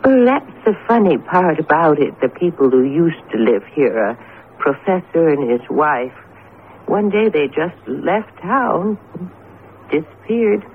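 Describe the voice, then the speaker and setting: An actress playing a chatty, affectionate, eager wife in an old radio drama. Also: female voice, deep voice, sing-song, a little slow, heavily acted. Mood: breezy, eager. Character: breezy, eager wife showing off a house. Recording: tinny vintage radio broadcast, faint hiss.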